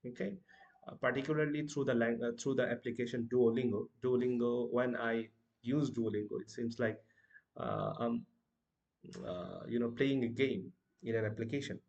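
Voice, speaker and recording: A man's voice: medium pitch, fairly even intonation, moderate pace, vocal fry, darkish tone, lo-fi sound. A young man from Bangladesh with a Bangladeshi accent, a native Bangla speaker, giving casual advice in a vlog, full of hesitations and "you know" fillers as he corrects himself mid-sentence. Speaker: language-learning vlogger.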